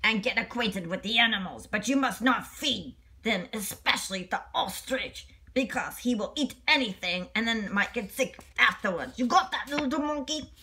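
A woman's voice: a little high, animated, moderate pace, hardly any pauses, bright, forceful tone. A woman reading the part of a stern male circus director in a storybook, bossy and admonishing. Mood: instructing.

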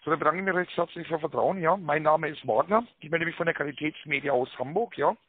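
A man giving a rehearsed telephone sales pitch, full of tag words and hesitations, with a raspy voice. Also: quick pace, dry recording. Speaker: telemarketing caller pitching a prize draw. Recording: narrow tinny phone line.

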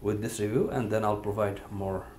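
A man's voice: fairly low pitch, normal speed, a little hiss or hum. A man giving a casual hands-on review at a table indoors. He talks loosely, with plenty of "you know" and "uh" fillers. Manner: relaxed, filler-heavy, says "you know" often. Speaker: product reviewer. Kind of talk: casual hands-on product demonstration.

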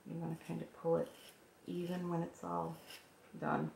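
A woman with a low, gravelly, hoarse voice explains each step of a painting craft in a calm, gentle way. Her voice is recorded at a work table in an echoey room with a faint hiss behind it. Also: normal speed. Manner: calm, gentle instructional talk while working. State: hoarse, froggy voice.

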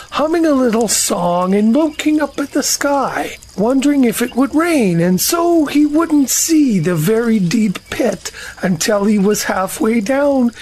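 A woman playing a slow, dreamy, honey-loving bear in an audio drama, musing and ponderous. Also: a little high, darkish tone, slight background noise, low-quality recording.

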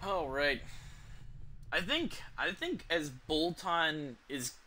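A young adult man giving casual live gameplay commentary, halting and rambling, with frequent swearing. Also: on the high side, very animated, bright, forceful tone, slight background noise. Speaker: video game streamer. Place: indoor room at home.

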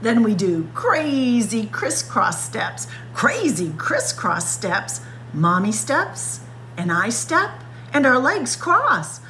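A woman reads a picture book to young children at a very slow, careful pace. Her pitch moves in lively swings as she draws out and acts out the words. There is a faint noise and hum behind her in the room. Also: rough recording. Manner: expressive, child-directed delivery, stretching and acting out words. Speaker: librarian reading aloud for children. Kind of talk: picture-book story time read-aloud. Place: indoors, library room with bookshelves.

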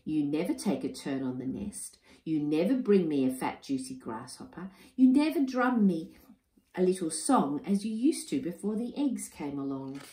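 A woman voicing a fed-up, sharp-tongued mother emu in a storybook reading. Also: low voice, big pitch swings, moderate pace. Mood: scolding. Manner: scolding, emphatic. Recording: roomy echo.

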